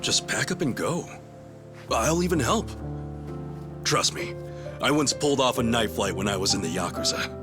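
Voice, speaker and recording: A man's voice: mid-range voice, animated, normal speed, long pauses, natural voice. A man voicing an earnest, awkward middle-aged ex-yakuza in a video game drama, blurting and self-deprecating. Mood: earnest, rousing. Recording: loud background music.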